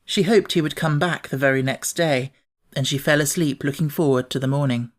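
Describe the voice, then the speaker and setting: A man reading an audiobook as narrator, telling the story in a measured, expressive way. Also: fairly high pitch.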